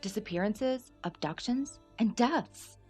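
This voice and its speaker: A woman acting in a radio play as a concerned, steady federal agent, questioning and reassuring. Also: medium pitch, a little slow, husky, faint music behind. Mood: serious, confiding.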